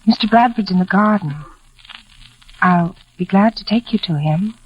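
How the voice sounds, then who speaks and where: An actress playing a shy, kindly young housemaid, earnest and gentle, in a 1940s radio drama. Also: female voice, mid-range voice, moderate pace, husky, lightly acted. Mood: earnest, wistful. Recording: muffled, faintly noisy old radio recording.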